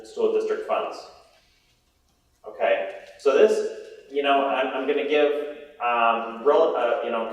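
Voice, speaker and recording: A man gives a presentation to a meeting room, loud and chatty, often restarting his sentences. He sits well back from the microphone. The room rings with heavy echo. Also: medium pitch, lo-fi sound. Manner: loud, conversational, with frequent restarts. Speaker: presenter on investment strategy. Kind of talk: conference presentation. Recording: echoey room from far off.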